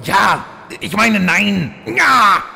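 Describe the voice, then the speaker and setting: Gruff, gravelly, touchy demon lord. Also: male voice, high-pitched, animated, very slow, sharp tone, heavily acted. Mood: flustered, angry. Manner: gruff, indignant, defensive. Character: irritable, touchy demon lord, easily provoked. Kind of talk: parody fantasy audio drama acting. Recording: reverberant hall, faint noise.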